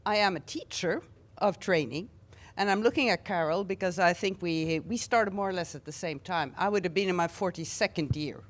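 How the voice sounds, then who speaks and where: A woman's voice: lowish voice, moderate pace. A Norwegian woman in her sixties, a veteran teacher and union official, speaking reflectively on a panel. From Norway. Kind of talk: panel discussion.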